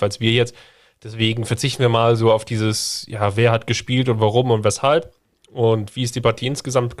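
Stuffy-nosed man hosting a podcast. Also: medium pitch. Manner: relaxed, jokey, leads the conversation. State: stuffy nose from a sinus infection. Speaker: podcast host. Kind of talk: casual football podcast chat.

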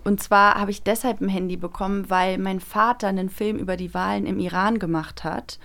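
A woman's voice: mid-range voice, fairly even intonation, fairly quick, creaky, fairly bright tone. A woman. She talks as an interview guest, casually recounting personal anecdotes. Her wording is youthful and colloquial. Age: 20s. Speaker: guest, actress and voice actor.

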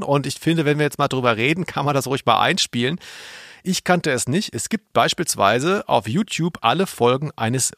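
A man with a mid-pitched voice chats wryly and colloquially as a podcast co-host. The sound is dry, without room echo. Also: a bit bright. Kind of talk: casual chat about audio dramas.

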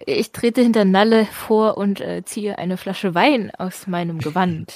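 A woman with a mid-pitched voice plays a tabletop roleplaying game. She improvises casually, with a playful politeness. The sound is close and dry, with no room echo. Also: unhurried, a little mellow.

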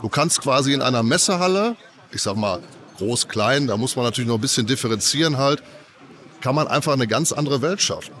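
A man's voice: mid-range voice, animated, a little fast, a bit bright, slight background noise. Man with a cold explaining at a fair. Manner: loose, filler-heavy explaining. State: has a cold. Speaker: audio company representative. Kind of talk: casual trade-fair interview. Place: trade fair hall.

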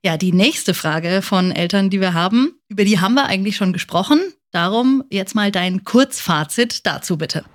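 Woman hosting a podcast, normal mid pitch, chatting to guest and listeners. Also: lively intonation, moderate pace, hardly any pauses. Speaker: podcast host.

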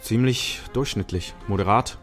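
Lively man, low voice. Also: moderate pace, soft background music. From Switzerland. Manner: lively, talkative, laughs easily, many fillers. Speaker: podcast guest, musician. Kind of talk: casual chat.

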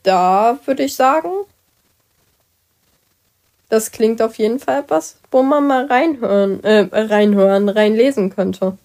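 A woman's voice: a little high, slow, a little mellow. A young woman co-hosting a podcast, chatting casually and giving short encouraging replies. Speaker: podcast co-host.

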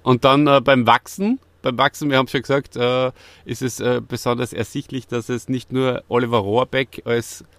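A man's voice: medium pitch, fairly even intonation, normal speed, a bit bright, no room sound. Austrian man, dry close sound. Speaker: podcast co-host reviewing audio dramas. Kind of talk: casual review chat.

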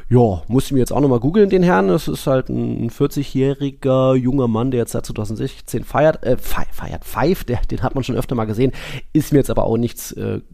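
A man with a noticeably creaky, gravelly voice at middling pitch. He talks as a podcast co-host in a casual sports discussion, rambling a little as he thinks aloud. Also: a little fast, mellow.

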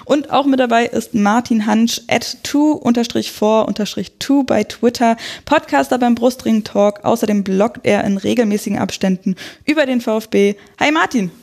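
A woman's voice: a little high, moderate pace, creaky, hardly any pauses, a little mellow. A woman from Berlin hosting a football podcast, chatty and loose, correcting herself as she talks. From Berlin, Germany. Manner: chatty, with fillers and self-corrections. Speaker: podcast host.

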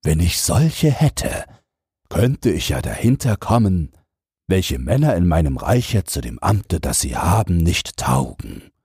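Storybook emperor, read by a male narrator: vain, musing, very deep and husky, unhurried. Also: big pitch swings, darkish tone, no room sound, studio quality, heavily acted. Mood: musing, self-important. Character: vain, pondering emperor, self-important fairy-tale ruler.

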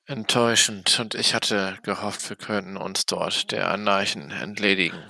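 A man as a gravelly, skeptical vampire. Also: lowish voice, steady tone, moderate pace, sharp tone, no room sound, exaggerated voice. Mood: disapproving. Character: combative, skeptical male Camarilla vampire. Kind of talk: improvised tabletop role-play audio drama.

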